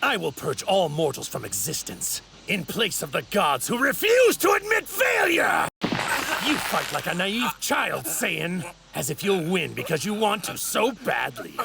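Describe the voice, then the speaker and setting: An arrogant evil god anime villain, super pompous, swoops up and down, gravelly edge. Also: male voice, a little high, sing-song, a little slow, few pauses, shouting, noisy background, full character voice. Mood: disdainful. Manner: pompous, theatrical, formal grandiose diction. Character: arrogant godlike male villain in a stolen warrior body. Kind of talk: English anime dub acting.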